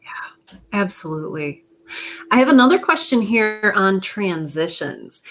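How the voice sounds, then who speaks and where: A woman moderates a relaxed discussion in an informal, conversational style. Her voice sits in a middle pitch for a woman, and she speaks appreciatively to her guests as she puts questions to them. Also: unhurried, slight background noise. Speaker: host, moderator. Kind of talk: casual interview hosting.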